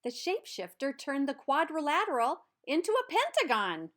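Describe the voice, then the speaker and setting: Female picture-book narrator: slightly high voice, very varied intonation, deliberate emphasis on key words. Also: wildly swooping pitch, a bit bright. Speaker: storybook reader. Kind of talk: expressive children's read-aloud narration.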